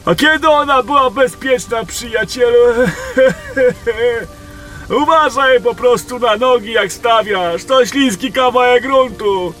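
A man playing a folksy, worried trader in a fantasy audio drama, giving familiar, drawn-out warnings. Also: very high-pitched, bright, forceful tone, faint music behind, full character voice, rough recording. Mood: wry, cautioning. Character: gossipy, worried, folksy male roadside trader.

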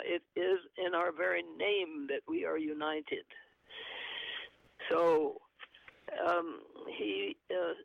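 Brief: earnest man, gravelly voice, halting delivery full of fillers, slightly high pitch, muffled phone-like audio. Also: no room sound. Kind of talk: conversational commentary, reading aloud from an article.

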